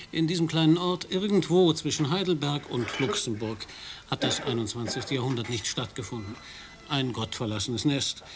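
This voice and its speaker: A man's voice: medium pitch, background noise, close to an everyday voice. An actor playing an ironic American roving radio reporter who narrates his trip through a village, in a radio play.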